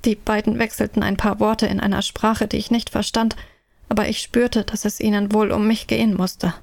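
A woman narrating an audio drama in first person, solemn and measured. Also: medium pitch, little pitch movement, vocal fry, no echo, studio quality.

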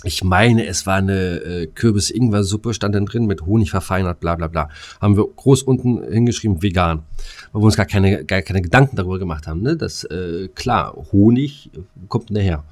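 A man's voice: sits low, moderate pace, darkish tone. A man with a German accent chatting as a podcast co-host in a rambling, slangy way that jumps between thoughts. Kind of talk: casual chat.

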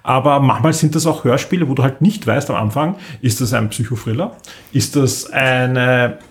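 A man's voice: medium pitch, moderate pace. Man in casual podcast chat. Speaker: podcast host. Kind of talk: casual, rambling chat about audio dramas.

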